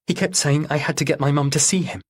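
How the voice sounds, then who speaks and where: A man voicing a distressed sixteen-year-old girl answering police questions in a halting, defensive way in an audiobook. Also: fairly high pitch, steady tone, breathy edge, pitched above the performer's own voice. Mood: upset, defensive.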